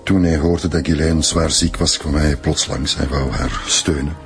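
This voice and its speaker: An older widower for a radio play. His voice sits extremely deep, with a reserved, bewildered manner. Faint music runs underneath. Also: steady tone, moderate pace. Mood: reserved, explaining. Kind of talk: radio drama acting.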